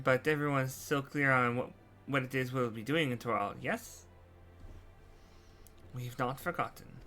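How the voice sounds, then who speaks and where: A man's voice: on the high side, pushed and bright. A man voicing an earnest young scholar adventurer in a fantasy game, with formal, articulate phrasing. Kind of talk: scripted game dialogue read-through.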